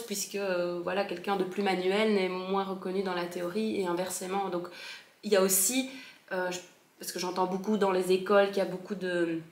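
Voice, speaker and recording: A woman fondly recalling her school years in a relaxed chat, often pausing and restarting her sentences. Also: medium pitch. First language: French. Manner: hesitant, many fillers and restarts. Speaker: former Steiner school pupil. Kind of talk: casual recollection. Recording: echoey room.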